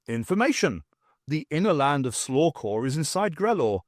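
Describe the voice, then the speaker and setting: A man's voice: a little high, big pitch swings, normal speed, no room sound, studio quality. A man voicing a literal-minded, haughty alien creature with stilted, formal, declarative speech in an audiobook. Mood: solemn, certain.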